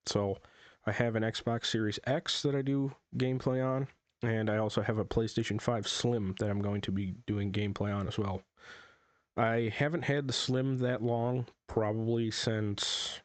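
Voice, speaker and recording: A young man hosting his own podcast in a casual, rambling way, his voice a little hoarse from a cold. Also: lowish voice, a little mellow, dry recording. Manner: rambling, frequent fillers and self-corrections. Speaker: podcast host. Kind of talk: casual solo podcast talk.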